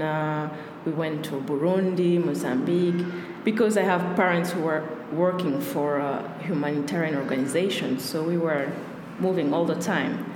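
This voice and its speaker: A woman whose first language is Russian, from Rwanda, answering interview questions hesitantly as a podcast guest and often searching for words. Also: on the low side. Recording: echoey hall with loud background noise.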